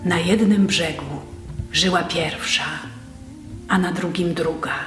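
A woman's voice: lowish voice, slow pace, over music. A woman narrating river folk tales as the storyteller of an audio drama. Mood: measured storytelling. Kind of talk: scripted fairy tale narration for an audio drama.

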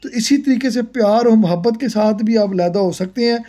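A man's voice: sits high, a little fast, mellow. A man hosting a family vlog, chatting and moralizing while someone cooks outdoors. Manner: chatty, moralizing, repeats the other speaker's words. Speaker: vlog host. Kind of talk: casual chat while filming cooking.

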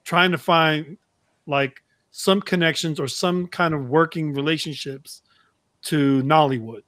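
An American man in middle age talks casually as a podcast co-host. His voice sits a little high for a man. The sound is very dry and close, with no room echo. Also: unhurried, a bit bright. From United States. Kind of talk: casual chat. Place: indoor room, video call.

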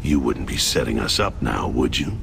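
An actor playing a hardened, terse soldier leader in a video game, with a gravelly voice. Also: male voice, rumbling low, sing-song, moderate pace, noisy background, exaggerated voice. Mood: grim. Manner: terse, grim. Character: gruff, hardened soldier leader, middle-aged man.

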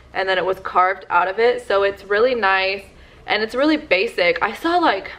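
A woman's voice: mid-range voice, a bit bright. Young woman vlogger, casual haul chatter, talks to a camera in her living room. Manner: chatty, lots of "like" fillers. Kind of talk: casual shopping haul chat.

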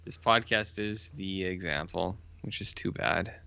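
A man's voice: deep voice, a little slow, vocal fry, pushed and bright, dull audio. A man co-hosting a podcast, chatting casually and teasing playfully. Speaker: podcast co-host. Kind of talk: casual banter.

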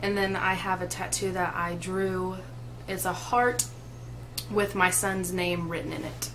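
A woman's voice: lowish voice, little pitch movement, a little slow, background noise. A woman in her twenties chatting casually to a webcam in a small room, with lots of "like" and "um" fillers. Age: 20s. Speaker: co-host. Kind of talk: casual chat. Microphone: webcam at desk distance.

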